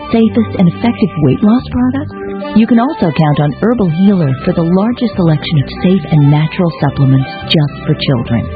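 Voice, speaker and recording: High-voiced man reading a radio ad. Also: narrow, tinny audio. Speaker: commercial announcer. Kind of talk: scripted radio ad read.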